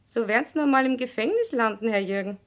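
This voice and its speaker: A woman's voice: on the high side, lively intonation, brisk, a bit bright. An actress playing a pert young Viennese woman in a radio play, saucy and dismissive toward her suitors.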